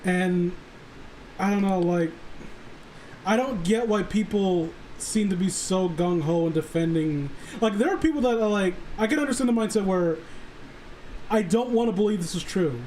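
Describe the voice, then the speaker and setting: A high-voiced man in a loose livestream chat. He talks in halting, rambling runs, restarting his thoughts and cursing freely, with a little noise behind him. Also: darkish tone, low-quality recording. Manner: halting, rambling, often swearing.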